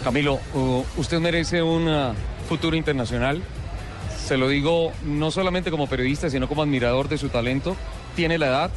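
Guy reporter at a car show, chatty and teasing, music in the background. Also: on the high side. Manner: jovial, joking, fast-talking questioner. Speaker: motorsport journalist and interviewer. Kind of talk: lively interview at a motor show. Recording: music playing behind.

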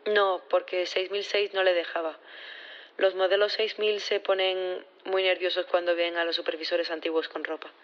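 Casting: nervous female professor testifying, played by an actress; lowish voice, halting and unsure, naturalistic audio drama, slightly dull sound. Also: level tone, close to an everyday voice. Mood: hesitant, earnest. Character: nervous, hesitant female science professor on witness stand. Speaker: actor in audio fiction.

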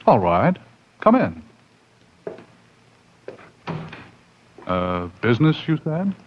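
A man's voice: medium pitch, big pitch swings, measured pace, slight background noise. An actor in a 1940s radio horror drama playing a wary man who narrates and acts out his tale dramatically. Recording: muffled 1940s radio recording, music behind.

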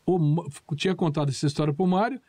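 Man, talk show guest, telling anecdotes, fairly high voice, dry sound. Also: quick pace, mellow, dry recording. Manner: chatty, restarts words while recalling. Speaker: guest, former colleague and juror.

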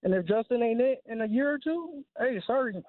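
A man's voice: very high voice, expressive, a little fast, dry recording. A man calling a sports show by phone, giving his fan opinion in casual, slangy talk. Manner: slangy, informal. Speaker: radio show caller. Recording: dry, narrow phone line.

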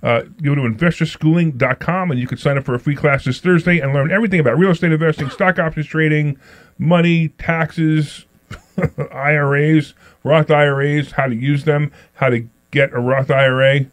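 A man's voice: a little high, fairly even intonation, moderate pace, no echo. A man hosting a financial talk radio show, joking and rambling, repeating himself as he goes. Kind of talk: casual talk radio banter. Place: radio studio.

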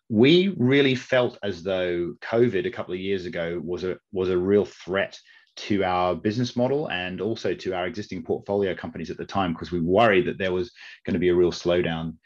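A middle-aged man from Australia with an accent softened by years in Britain, answering interview questions in a measured way with frequent restarts. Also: deep voice. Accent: Australian, softened by long UK residence. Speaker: investment network CEO, guest. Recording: slightly dull lo-fi audio.

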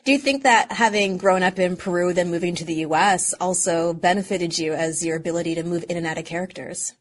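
A woman's voice: fairly low pitch, a little fast. Laughing female radio co-host. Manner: friendly, laughing easily. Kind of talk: casual radio interview.

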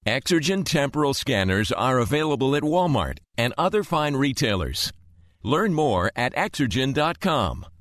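A man reading a scripted advertisement in a measured, informative manner as an announcer. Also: mid-range voice, very animated, fairly bright tone, no echo, clean studio sound. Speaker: commercial announcer. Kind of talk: scripted ad read.

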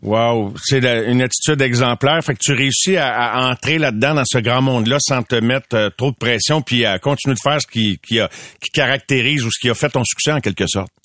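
An older man asks long, winding questions in an eager tone. His voice is of middle pitch. The sound is dry and close. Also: brisk, few pauses, fairly bright tone, no room sound. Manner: wordy, enthusiastic questions. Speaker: sports interviewer. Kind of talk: radio sports interview.

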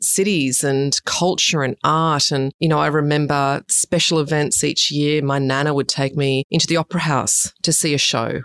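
Gravelly Australian woman, chatty guest. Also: a bass voice. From Sydney, Australia. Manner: chatty and expressive, with frequent fillers. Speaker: guest, urban design director. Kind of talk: conversational podcast interview.

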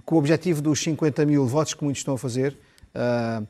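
A man, arguing as a commentator in a televised panel debate, talking quickly with many restarts and repeated words. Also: medium pitch, a loud crowd. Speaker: political commentator.

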